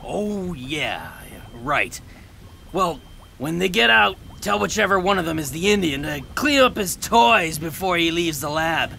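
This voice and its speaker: A man's voice: a little high, lively intonation, a little slow, gravelly, bright, forceful tone, slight background noise, heavily acted. A man playing a cranky, impatient police lab chief who grumbles in exasperation, in a comic sci-fi audio drama. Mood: exasperated.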